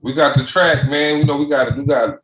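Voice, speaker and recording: A man's voice: steady tone, a little fast, a bit bright. Emphatic man in an echoey room. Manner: emphatic, rising pitch when making a point. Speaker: podcast host. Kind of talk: casual interview chat.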